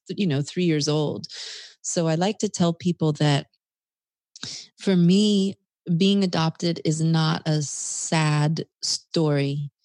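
Low-voiced woman, closely recorded and dry. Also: darkish tone, dry recording. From United States, South Carolina. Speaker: interview guest. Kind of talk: reflective personal storytelling in conversation.

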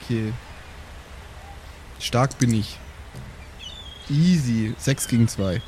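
A man's voice: medium pitch, takes it slowly, a little mellow, slight background noise. A man chatting casually as a player in an improvised tabletop role-playing podcast. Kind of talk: casual improvised game chat.

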